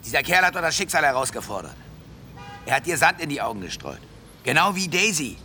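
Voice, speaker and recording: A man's voice: fairly high pitch, very animated, a little fast, pushed and bright, slight background noise, full character voice. An actor playing an arrogant, aggrieved wealthy man in a German radio drama, blustering and indignant as he defends himself. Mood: defensive, agitated.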